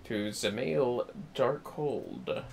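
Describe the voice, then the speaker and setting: A man's voice: medium pitch, sing-song, very slow, gravelly, a little hiss or hum. A man livestreaming a game with casual, chatty commentary in an easygoing manner. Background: faint music.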